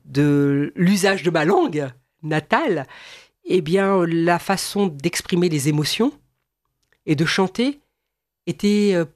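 A woman's voice: fairly low pitch, animated, slow pace, darkish tone, dry recording, clean studio sound. A woman singer being interviewed, talking hesitantly with frequent restarts and eager repeated agreement. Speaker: singer, guest. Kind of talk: radio interview.

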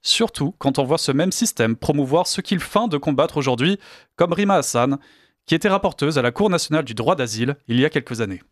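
A man's voice: fairly high pitch, expressive, brisk, no room sound, studio quality. A man reading scripted political commentary in a sardonic, indignant manner. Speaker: commentator presenting news clips.

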